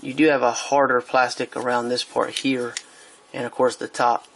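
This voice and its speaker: A man's voice: medium pitch, little pitch movement, moderate pace, gravelly, a bit bright, slight background noise, rough recording. A man giving a casual product review while he handles a speaker on a tabletop, hesitant, with many fillers and restarts.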